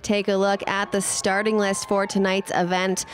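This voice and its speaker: A woman giving scripted sports highlights commentary as a voice-over. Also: level tone, normal speed, faint music behind. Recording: clear ambient event noise behind voice.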